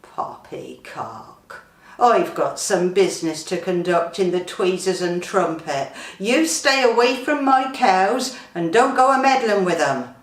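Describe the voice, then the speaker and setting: A woman voicing a gruff, dismissive male farmer in a comic story, with curt, rustic phrasing. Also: on the low side, animated, a little slow. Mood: scornful. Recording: roomy, slightly distant microphone.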